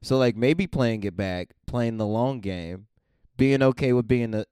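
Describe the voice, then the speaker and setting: Hombre de voz clara y seca, tono seguro. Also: male voice, medium pitch, expressive, unhurried, warm tone, smooth, no echo. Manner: confident, advice-giving, emphatic. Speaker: podcast co-host. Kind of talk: casual advice chat.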